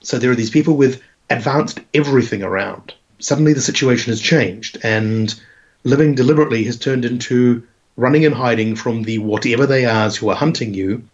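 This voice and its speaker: A man with a croaky voice in casual chat, laying out a game idea with long, rambling setups. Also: medium pitch. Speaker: podcast co-host. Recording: dull lo-fi podcast audio.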